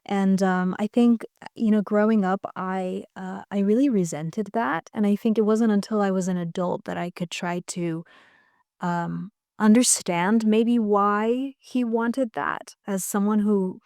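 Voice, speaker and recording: Casting: a reflective woman guest on an interview podcast, smooth clear voice, dry close mic. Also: mid-range voice, fairly even intonation, unhurried, darkish tone, no room sound. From United States. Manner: thoughtful, hesitant, many restarts.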